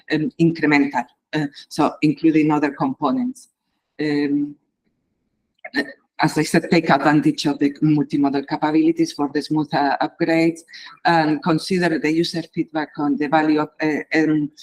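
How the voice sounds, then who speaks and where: A woman giving a measured conference talk as an invited reviewer, pausing often with fillers. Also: sits low, rough recording. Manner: halting, measured, many pauses and fillers.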